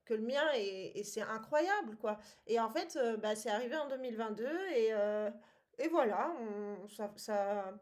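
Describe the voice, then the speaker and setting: A woman's voice: fairly high pitch, lively intonation, unhurried, a little mellow. A woman co-hosting a casual book podcast, talking with many hesitations and frequent laughs. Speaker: podcast co-host. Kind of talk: casual book-club chat.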